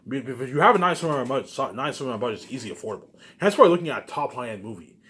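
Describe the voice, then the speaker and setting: A man's voice: medium pitch, expressive, very fast, a bit bright. A man giving a rambling, casual solo monologue, emphatic and repetitive, often tagging his points with rhetorical questions. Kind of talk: rambling casual monologue about personal plans.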